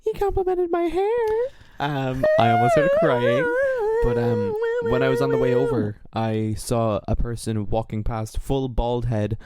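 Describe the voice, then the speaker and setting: A man's voice: mid-range voice, wildly swooping pitch, measured pace, hardly any pauses, a little mellow. A man in his twenties co-hosting a podcast, chatting casually in a rambling, jokey way with plenty of swearing. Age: 20s. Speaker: podcast co-host. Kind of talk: casual chat.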